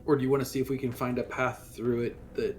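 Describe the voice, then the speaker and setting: A man's voice: mid-range voice, little pitch movement, fast talker. Man planning aloud at the game table. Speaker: player.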